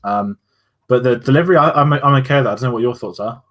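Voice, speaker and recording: A man's voice: medium pitch, quick pace. A man co-hosting a casual review chat, musing loosely with restarts and fillers. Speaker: podcast co-host.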